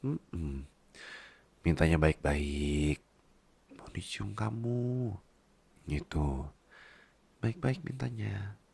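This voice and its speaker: A man's voice: fairly low pitch, animated, extremely slow, vocal fry, pauses often, darkish tone, slightly rough, full character voice. A man playing a doting, teasing husband, a babyish big boss, in an intimate ASMR roleplay, coaxing playfully and dropping into whispers. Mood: playful, affectionate.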